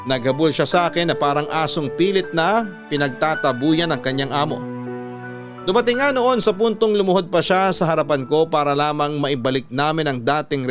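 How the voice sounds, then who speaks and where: High-pitched male story narrator over music. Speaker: radio drama narrator reading a letter. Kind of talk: scripted first-person story narration.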